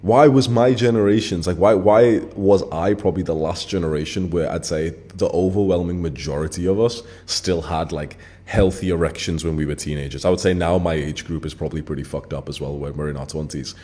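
Low, mellow-voiced man in his twenties. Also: a little fast, few pauses. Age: 20s. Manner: blunt, emphatic, swears freely, heavy filler words. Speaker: channel host. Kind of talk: casual straight-to-camera monologue.

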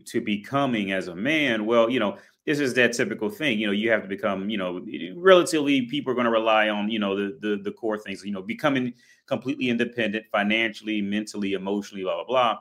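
A man's voice: on the low side, fairly bright tone. Rambling, blunt American man. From United States. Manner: rambling, many restarts and fillers; blunt, swears freely. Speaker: vlogger. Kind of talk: casual monologue to camera.

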